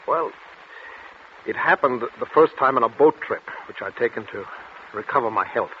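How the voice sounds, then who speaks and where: A man acting an anguished patient who feels cursed, in vintage radio drama. His delivery is halting and dramatic at a middling pitch, with dull sound and faint hiss of an old recording. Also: expressive, lightly acted. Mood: haunted, recounting. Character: tormented male patient cursed to blurt out hidden truths. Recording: muffled, noisy 1940s radio recording.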